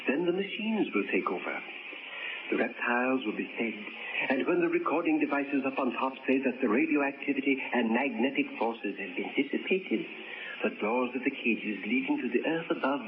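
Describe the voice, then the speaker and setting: A man's voice: mid-range voice, expressive, normal speed, close to an everyday voice. An actor playing a zealous old man who proclaims a reptile-ruled future, in grandiose, ominous tones, in 1940s radio acting style. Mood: fervent. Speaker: eccentric old man planning to die. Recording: tinny noisy old radio broadcast.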